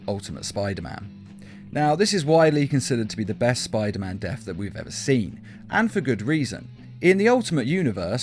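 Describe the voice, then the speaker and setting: A man reading a scripted countdown as an emphatic, opinionated fan commentator. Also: mid-range voice. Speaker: video list narrator. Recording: music behind the voice. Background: guitar backing music.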